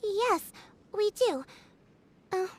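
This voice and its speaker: A tiny, childlike girl for a video game. The pitch is extremely high and bounces around a lot, giving an earnest, chirpy sound. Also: expressive, very slow, slight background noise, heavily acted. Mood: shy, sweet.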